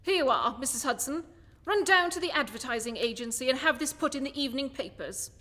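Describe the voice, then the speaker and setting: A woman playing a cerebral, commanding male detective in a radio play, speaking in eloquent, measured, declamatory phrases. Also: high-pitched, lively intonation, bright, forceful tone, exaggerated voice. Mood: brisk, commanding. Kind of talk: theatrical radio play monologue and dialogue. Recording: music behind the voice.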